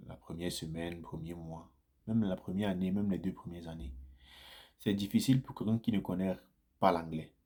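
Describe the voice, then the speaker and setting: Male vlogger, lower register, conversational storyteller who repeats himself and warms into animated anecdotes. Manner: chatty, repeats phrases, grows animated in anecdotes. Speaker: vlogger sharing immigrant experience. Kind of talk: casual first-person storytelling to viewers.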